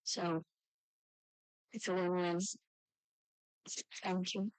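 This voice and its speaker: A woman with a very clear, smooth voice speaks in a formal youth debate. Her pitch stays fairly even, and the sound is close and dry. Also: very slow, dry recording, rough recording. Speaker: youth parliament participant.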